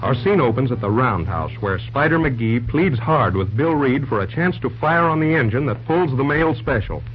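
A man's voice: mid-range voice, lively intonation, normal speed, few pauses. Casting: man as radio serial announcer. Swooping, dramatic delivery over music, muffled vintage broadcast sound. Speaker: announcer, narrator. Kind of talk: dramatic scripted old-time radio narration. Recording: dull old radio broadcast recording.

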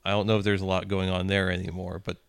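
A man's voice: deep voice, completely flat delivery, quick pace, vocal fry, clean tone, no echo, clean studio sound. A man co-hosting a podcast in a laid-back, sardonic, casual style. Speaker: podcast co-host. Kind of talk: casual comedic chat.

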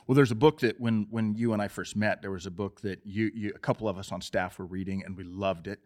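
A man in his fifties with a low voice hosts a relaxed interview. He sums up what his guest says and affirms it. Then he asks the guest to unpack it further. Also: fast. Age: 50s. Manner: affirming, recaps guest's points. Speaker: podcast host. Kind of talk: casual interview.

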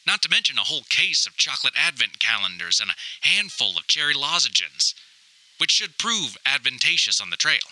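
A man's voice: mid-range voice, big pitch swings, normal speed, pushed and bright, dry recording, poor audio. A man playing a melodramatic grown son trapped in a house, reading his survival audio diary in grandiose, theatrical, formal phrasing. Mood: ominous, dramatic. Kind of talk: scripted audio diary monologue, mock-survivalist narration.

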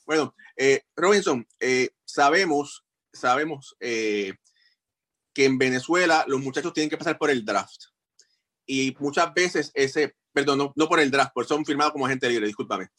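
A man's voice: mid-range voice, normal speed, a bit bright. A man hosting a sports podcast, asking interview questions in a friendly, chatty way with casual fillers.

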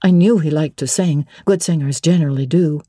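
A woman narrates classic literature as a dramatic audiobook reading. Her voice is very mellow and husky, recorded dry and close. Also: deep voice, brisk, dry recording.

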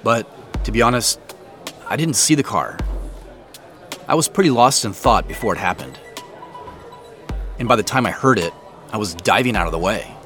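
A man's voice: on the low side, animated, normal speed, pauses often, fairly bright tone, faint music behind. An actor playing a casual, self-deprecating man under questioning in an audio drama, speaking informally and a little guardedly. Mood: guarded, apologetic. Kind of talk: naturalistic audio drama dialogue.

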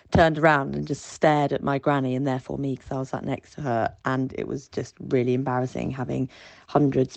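A British woman with a low voice tells anecdotes casually as a guest. There is a noticeable creak in her speech, and the recording is dry. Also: darkish tone, no echo. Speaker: guest contributor.

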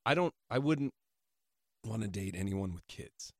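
A man on a talk show panel bantering casually, giving halting and evasive answers about himself. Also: on the low side, animated, no echo, clean studio sound. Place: radio studio. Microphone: close studio microphone.

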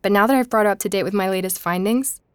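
An actress playing a curious, skeptical woman investigator in an audio drama, speaking in a measured, cautious way. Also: medium pitch, smooth, no echo, studio quality, close to an everyday voice. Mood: reflective narration. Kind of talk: audio drama narration and naturalistic dialogue.

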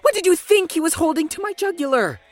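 An actor playing a sardonic, hard-nosed investigator in an audio drama, blunt and exasperated. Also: male voice, very high voice, expressive, quick pace. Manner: sarcastic, blunt.

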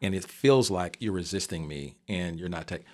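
A man's voice: deep voice, expressive, fairly quick. A man interviewing in a casual, conversational way as the host.

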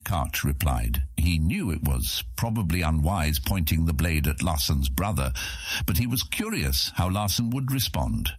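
A man narrates an epic fantasy audiobook in an extremely low voice. The tone is husky and the sound is dry, without room echo. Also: very animated, measured pace.

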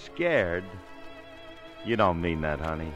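An actor with an American accent playing a brash, devoted young husband and hoofer in 1950s radio drama style, slangy and wisecracking. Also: male voice, sits low, sing-song, takes it slowly, fairly bright tone, highs cut off, soft background music, heavily acted. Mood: earnest, reassuring.

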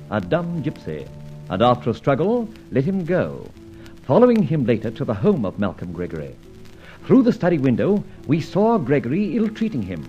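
An actor narrating and playing a suave, confident masked gentleman adventurer in an old radio drama, with a mocking, commanding manner. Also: on the high side. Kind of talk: 1940s radio acting. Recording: dull old radio recording.

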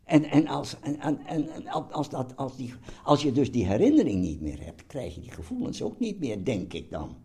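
An elderly man in his eighties, talking reflectively in an interview, halting and often repeating words as he searches for them. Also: medium pitch, sing-song, mellow. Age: 80s. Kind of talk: personal interview, reflective talk. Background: music.

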